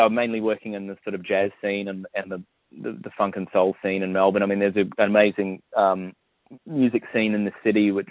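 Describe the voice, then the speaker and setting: A man in his forties from New Zealand, a bandleader talking haltingly with many restarts in an interview. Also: on the low side, no echo. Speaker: bandleader and guitarist. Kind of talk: interview over the phone. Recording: dry tinny phone line.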